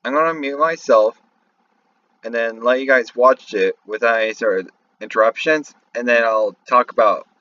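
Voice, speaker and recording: A man giving casual, off-the-cuff game commentary, halting and thinking aloud as he goes. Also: on the low side, bright, forceful tone, dull audio. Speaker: YouTube commentator.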